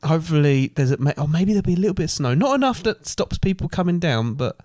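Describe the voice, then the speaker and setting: A man's voice: on the high side, expressive, quick pace, darkish tone, no room sound, clean studio sound. A man co-hosting a podcast in casual chat. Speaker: podcast co-host.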